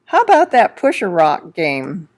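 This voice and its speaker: A woman voicing an excitable young boy pelican in an expressive read-aloud of a children's picture book. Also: lowish voice, unhurried, heavily acted. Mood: playful. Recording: music behind the voice.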